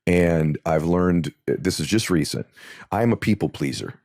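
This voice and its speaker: Deep-voiced earnest man telling a story. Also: animated, measured pace, darkish tone, no echo, clean studio sound. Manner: earnest, builds to impassioned emphasis when telling a story. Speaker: guest. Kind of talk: conversational interview storytelling.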